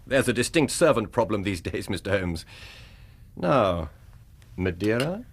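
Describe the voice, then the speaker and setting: A man's voice: lowish voice, big pitch swings, a little slow, noisy background. A man with an upper-class English accent playing a suave, brazen aristocratic scoundrel in a radio drama, haughty and theatrical. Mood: urbane, haughty.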